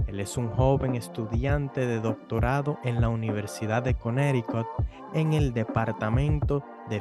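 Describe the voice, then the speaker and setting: Podcast host man with music behind. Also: medium pitch. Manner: friendly, informal questions. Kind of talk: podcast hosting and interviewing.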